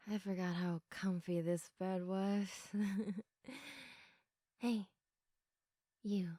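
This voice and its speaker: A woman playing a sleepy, affectionate girlfriend in an intimate sleep-aid roleplay, speaking softly and reassuringly with a tendency toward giggles and whispers. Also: fairly low pitch, fairly even intonation, no echo, clean studio sound. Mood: drowsy, affectionate. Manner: soft, unhurried, often giggly, dropping into whispers. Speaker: audio roleplay performer.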